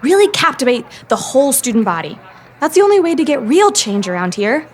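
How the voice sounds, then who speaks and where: High-voiced, earnest teenage girl reporter, played by a woman. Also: sing-song, a little fast, a little hiss or hum. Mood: determined. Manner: earnest, determined. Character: driven teenage girl, high school student journalist. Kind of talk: scripted audio drama.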